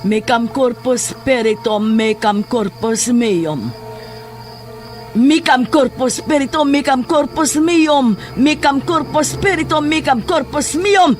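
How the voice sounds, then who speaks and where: Desperate filipino sorcerer, really high voice, slow intense chanting, horror drama, loud music under him. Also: male voice. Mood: rising incantation. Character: desperate, obsessive male occultist chanting dark incantations. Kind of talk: horror audio drama acting.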